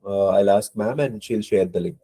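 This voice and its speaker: A man's voice: on the low side, normal speed, mellow, slightly rough. A man with a cold teaching an online exam-prep class, hesitant, with many fillers and apologies. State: hoarse from a cold. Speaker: IELTS instructor.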